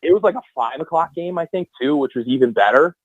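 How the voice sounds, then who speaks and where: A man's voice: on the high side, lively intonation, fast, no room sound, dull audio. A young man, a guest anthem singer, chatting casually on a podcast in long rambling answers full of fillers and restarts.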